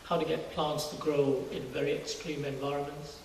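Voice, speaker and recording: Middle-aged male guest from Singapore, slightly high voice, explaining conversationally, recorded at a distance in a reverberant space. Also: little pitch movement, normal speed. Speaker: interview guest, university research scientist. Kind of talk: informal testimony interview. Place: large church hall, indoors. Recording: very echoey church hall, distant mic, faint noise.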